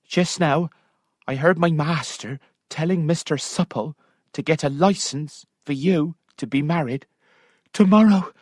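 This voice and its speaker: A man reading an audiobook, voicing a fussy, devoted lady's maid in a higher, fretful voice. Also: a little slow, dry recording, studio quality.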